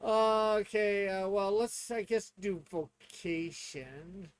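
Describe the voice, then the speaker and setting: A man's voice: high-pitched, slow, clean tone. A man chatting casually and thinking aloud as a player learning a tabletop game.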